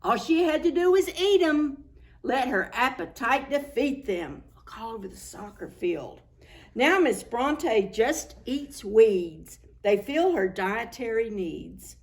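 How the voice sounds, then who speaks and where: A woman reading a picture book aloud to preschoolers as a story time host, expressive and full of exclamations. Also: fairly high pitch, slow. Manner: expressive, exclaiming, talks to young children. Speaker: children's librarian hosting story time.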